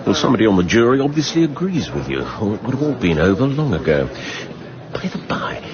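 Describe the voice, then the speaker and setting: An actor playing a sceptical, gently teasing English chief inspector in a radio drama, speaking in a dry, familiar way. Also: male voice, lowish voice, sing-song, fast, muffled recording, a crowd in the background. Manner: dry, matter-of-fact, familiar.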